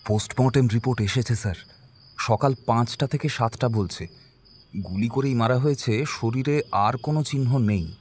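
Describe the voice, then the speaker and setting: A man's voice: mid-range voice, normal speed, a little mellow, breathy edge, close to an everyday voice. An actor in an audio drama playing a respectful junior police officer reporting matter-of-factly to his superior.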